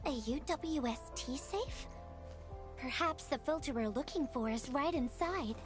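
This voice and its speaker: A high, soft young woman's voice, taking its time over each phrase. She is a playful, earnest messenger with a wistful streak, teasing and tender in a game-dialogue style, over faint music. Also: expressive, unhurried. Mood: bright, guiding. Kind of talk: video game voice acting.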